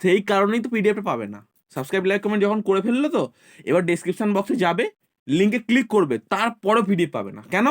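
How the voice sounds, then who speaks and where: A man's voice: sits high, big pitch swings, fast talker. A man co-hosting a casual video for students, instructing them insistently and repeating himself. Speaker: channel co-host.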